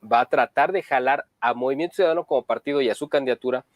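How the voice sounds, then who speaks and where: Male co-host, pointed political commentary, mid-range pitch, dry close mic. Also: quick pace, no echo. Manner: steady, opinionated, pointed.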